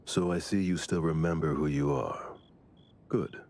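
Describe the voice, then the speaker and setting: A man's voice: very deep voice, steady tone, unhurried, darkish tone. A man playing a stern, matter-of-fact squad member in an anime video game, dry and unimpressed. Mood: dry, businesslike.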